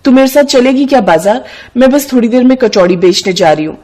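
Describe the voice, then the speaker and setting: A woman's voice: a little high, lively intonation, fairly quick, mellow. Woman playing a humble, honest young village vendor. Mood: friendly. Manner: earnest, polite, contented. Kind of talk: cartoon moral story dialogue.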